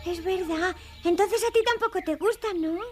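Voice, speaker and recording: A woman voicing an earnest, caring little girl in a dubbed animated series. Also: extremely high pitch, normal speed, soft background music. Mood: teasing. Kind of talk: animated series dub acting.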